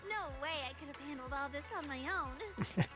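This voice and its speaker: A woman's voice: high voice, moderate pace, over music, natural voice. A woman voicing a cheerful young woman in an anime video game. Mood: cheerful, grateful.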